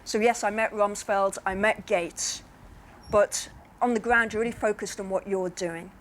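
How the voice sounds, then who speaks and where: A woman's voice: mid-range voice, fairly even intonation, normal speed, slight background noise. A woman speaking as an invited speaker, answering audience questions in a reflective, plain-spoken, explanatory way. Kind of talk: measured Q&A answers.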